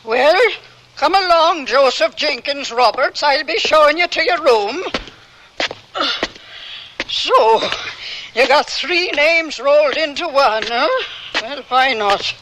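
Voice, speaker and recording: An actress playing a tall, bossy but kindly Irish landlady in a radio drama, brisk and garrulous. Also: high-pitched, a bit bright, slight background noise, low-quality recording. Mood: brisk, businesslike.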